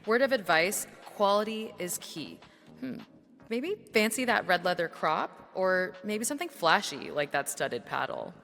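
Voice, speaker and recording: Lowish female voice, commanding, worldly mentor dominatrix, coolly doting, music underneath. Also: big pitch swings, measured pace, a bit bright, heavily acted. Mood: knowing, coaxing. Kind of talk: scripted audio comedy acting.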